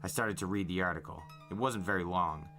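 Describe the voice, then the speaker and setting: A man narrating a first-person novel aloud in an expressive storytelling way. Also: deep voice, brisk, faint music behind. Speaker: podcast host reading a novel aloud. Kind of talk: first-person audiobook-style narration with acted dialogue.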